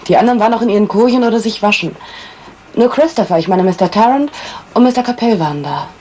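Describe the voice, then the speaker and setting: A woman's voice: mid-range voice, a little mellow, soft background music, close to an everyday voice. An actress playing a cool, evasive woman witness in a 1970s German radio crime play, answering dryly. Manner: dry, evasive, unhurried answers.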